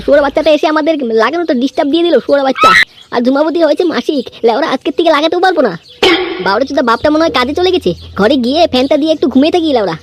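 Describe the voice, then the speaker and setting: A woman voicing a crude, hot-tempered young village man in a comic cartoon, grumbling and swearing constantly. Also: high voice. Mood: irritated grumbling. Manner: foul-mouthed grumbling, peppered with swearing. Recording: faint background noise.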